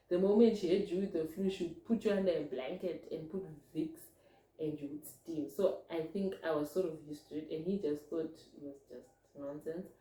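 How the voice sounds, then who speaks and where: A woman's voice: sits low, warm tone, distant mic. A woman in her thirties chatting casually to camera as a vlogger, rambling with fillers. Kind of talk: casual chat. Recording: roomy echo, from the mic.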